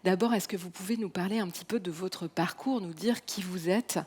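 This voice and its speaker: A woman's voice: lowish voice, brisk, darkish tone, slightly rough. A woman hosting a live evening event and interviewing a guest, hesitant and full of fillers. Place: hall at a public evening event.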